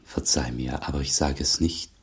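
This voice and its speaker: An actor in a German radio play, a man playing a humble, earnest elderly monk who speaks in a hushed, very husky voice. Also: very deep voice, steady tone, moderate pace, exaggerated voice. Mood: humble, pleading. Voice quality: very husky, breathy.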